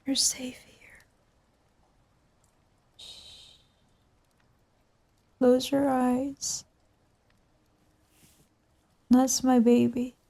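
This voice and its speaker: A woman playing a tender, sleepy girlfriend in an ASMR comfort roleplay, speaking softly and soothingly. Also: high-pitched, vocal fry, exaggerated voice. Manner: soft, soothing, drowsy, murmuring into whispers.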